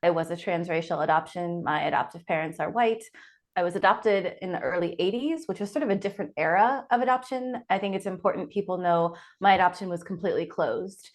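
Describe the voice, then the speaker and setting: A woman's voice: lowish voice, a little fast. A woman in her forties from the Pacific Northwest, answering interview questions reflectively as an author guest. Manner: reflective, thinks aloud with restarts.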